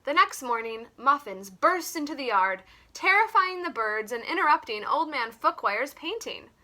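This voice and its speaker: A woman reading a children's picture book aloud like a teacher, in an expressive, deliberate way. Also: high-pitched. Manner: animated, deliberate.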